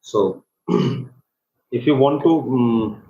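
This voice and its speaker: A man with an Indian accent gives an informal live software tutorial as an online instructor, speaking in a halting, hesitant way. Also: mid-range voice. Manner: halting, hesitant delivery with repeated check words. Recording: roomy echo, dull lo-fi microphone, faint noise.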